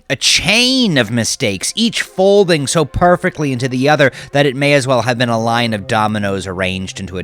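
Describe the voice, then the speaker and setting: A man narrating a dramatic scripted audiobook, with deliberate, measured phrasing. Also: sits low, fairly bright tone. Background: background music.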